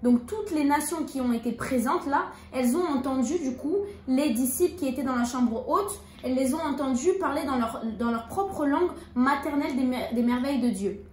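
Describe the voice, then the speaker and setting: High-voiced woman in an echoey room. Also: a little mellow. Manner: measured, deliberate reading. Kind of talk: reading scripture aloud and casual chat. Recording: echoey room with faint background noise.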